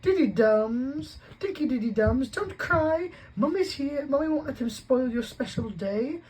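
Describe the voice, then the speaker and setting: A man reading an audiobook voices a snappish, fussy aunt who dotes on her son, in a shrill put-on voice. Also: lively intonation, unhurried, a little mellow, husky, full character voice. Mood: cooing.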